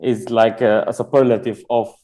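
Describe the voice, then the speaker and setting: Halting Sudanese-born man doing stand-up. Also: medium pitch, little pitch movement, dark tone. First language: not English. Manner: halting, many "uh" pauses, searching for words. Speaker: stand-up comedian. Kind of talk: stand-up set.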